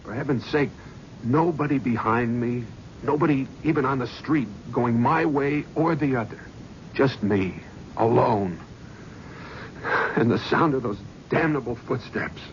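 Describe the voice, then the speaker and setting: A jittery, hard-drinking talent agent with a New York accent, played by an actor, tense and defensive in a 1970s radio drama. His voice sits at an ordinary pitch for a man. The sound is dull old broadcast audio with a faint hiss. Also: unhurried, natural voice.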